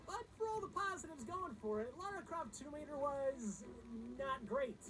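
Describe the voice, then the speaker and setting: A woman's voice: high-pitched, lively intonation, moderate pace, fairly bright tone, slight background noise, low-quality recording. A woman reading scripted comedic countdown narration in a sarcastic, snarky manner.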